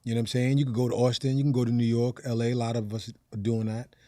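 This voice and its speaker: An American man with a medium-pitched voice in a dry, close recording. He speaks as a podcast guest, loose and slangy, working out his thoughts aloud as he goes. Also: level tone, fast, no echo. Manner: slangy, conversational, thinks out loud. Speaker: stand-up comedian, podcast guest. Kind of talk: casual podcast interview.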